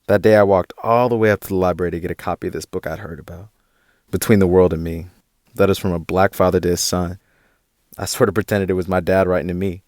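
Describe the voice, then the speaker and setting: A man's voice: deep voice, steady tone, fast, darkish tone, no echo, clean studio sound, natural voice. A man playing a hurt, defiant younger brother in a naturalistic audio drama, with an American accent and brooding, slangy speech. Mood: brooding, wounded.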